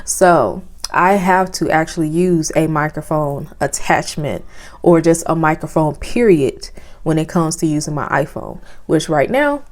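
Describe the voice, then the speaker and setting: Young woman, soft low-ish voice, lots of um and like, casual phone vlog at home with a lapel mic. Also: unhurried. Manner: chatty, many fillers like "um" and "like". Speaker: vlogger. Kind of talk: casual product review vlog. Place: home, indoors. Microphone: phone with clip-on lapel mic.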